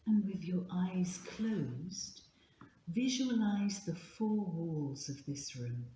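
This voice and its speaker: A woman leading a guided yoga nidra meditation in a gentle, soothing, hushed manner, leaving long pauses. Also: on the low side, lively intonation. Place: indoor room at a retreat, live session. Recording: roomy echo, faint background noise.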